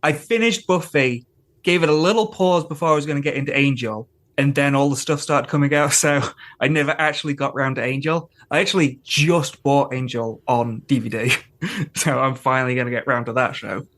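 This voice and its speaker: A man's voice: medium pitch, fairly quick, fairly bright tone. A young adult man chatting casually as a panellist on a podcast. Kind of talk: casual chat.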